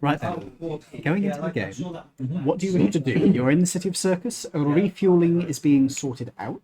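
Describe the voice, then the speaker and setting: A man's voice: a little high, expressive, moderate pace, a crowd in the background. A man acting as game master, casually narrating a tabletop game and bantering with players.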